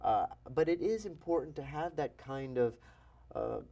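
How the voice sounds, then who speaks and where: A man in his forties from New York, a physician and author, talking in an animated, self-deprecating way as an interview guest. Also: a little high, unhurried, muffled recording. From New York, USA. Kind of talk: interview conversation.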